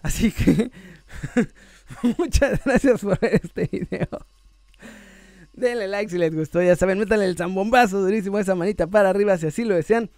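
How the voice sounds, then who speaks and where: A Mexican man with a high voice hosts an online sports show in a casual, chatty style. He is playful and joking, drawing out words for emphasis. The sound is dry and close. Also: moderate pace, pauses often, a little mellow, no room sound. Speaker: host of a sports news video channel. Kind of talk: casual chat to viewers, reading out messages and shout-outs.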